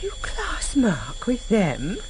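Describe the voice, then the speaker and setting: An actress playing a proud, wounded and defiant wife in a British radio drama, speaking insistently. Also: female voice, medium pitch, huge pitch swings, takes it slowly. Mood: hurt disbelief. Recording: noisy vintage radio recording.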